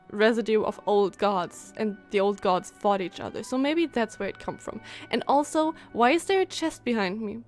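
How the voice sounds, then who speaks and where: A woman doing casual live game commentary, chatty and musing aloud as she reacts to the story. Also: a little high. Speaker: game streamer.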